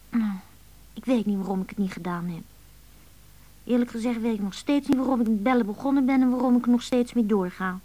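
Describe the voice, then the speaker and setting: A high-pitched teenage schoolgirl, played by a woman, confiding and impulsive. She swings between defiance and tenderness in intimate radio-play phone monologues, lonely underneath it all. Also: no room sound, natural voice. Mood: cross, confused. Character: lonely, moody seventeen-year-old schoolgirl confiding by phone.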